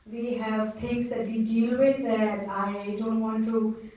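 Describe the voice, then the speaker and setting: A woman's voice: a little high, level tone, takes it slowly, dark tone, far from the mic, dull audio. A woman answering at a film festival Q&A, speaking earnestly and reflectively about her role. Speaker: actress on a panel. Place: cinema auditorium. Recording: roomy hall, mic from afar.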